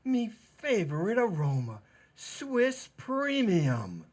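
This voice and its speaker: A man playing an oafish, lovestruck butcher in 1940s radio comedy, husky-voiced and halting. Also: sits high, huge pitch swings, darkish tone, full character voice. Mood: delighted, doting. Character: smitten, oafish male butcher, bashful yet flirty.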